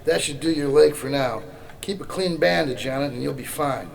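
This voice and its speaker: An actor playing a gruff, sardonic rebel mentor with folksy, brusque speech in a radio drama. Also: male voice, fairly high pitch, moderate pace. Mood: wry warning. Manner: folksy slangy, wry, brusque.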